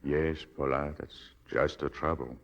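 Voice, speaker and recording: An actor playing a suave, manipulative husband in 1940s radio drama style, condescending and commanding by turns. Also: male voice, very deep voice, steady tone, unhurried, muffled recording, heavily acted. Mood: cold, quiet. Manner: smoothly condescending, shifting to stern commands. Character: suave, controlling, manipulative husband and master of the house.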